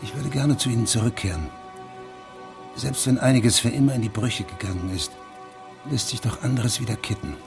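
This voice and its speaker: Guy playing a weary evasive judge, gravelly voice, measured radio drama talk, music behind. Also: medium pitch, lightly acted. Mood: measured, defensive. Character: weary, evasive male judge leaving a village. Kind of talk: German radio drama acting.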